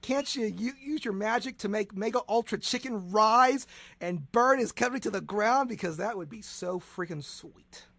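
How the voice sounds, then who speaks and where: A man's voice: high voice, moderate pace, vocal fry, pushed and bright, no echo. A man hosting a comedic radio interview, bombastic and mock-dramatic, often stammering and repeating his words. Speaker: radio show host.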